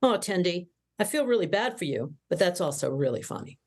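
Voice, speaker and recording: A woman playing a brash, teasing young starship officer with a blunt manner in an animated sci-fi comedy table read. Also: on the low side, brisk.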